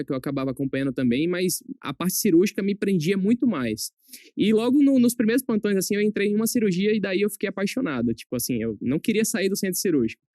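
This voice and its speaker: A young adult man from northeastern Brazil, a surgery resident speaking as a guest in a casual podcast chat, relaxed and quick to laugh. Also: high voice, no room sound, clean studio sound. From Brazil, born in Salvador, raised in Aracaju. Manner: relaxed, chatty, laughs easily.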